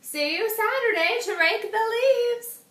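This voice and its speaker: A sweet, lonely old lady from a storybook speaks in a high, welcoming voice, put on by a woman reading aloud. The sound is roomy and a little distant from the mic. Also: measured pace, a bit bright. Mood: kindly.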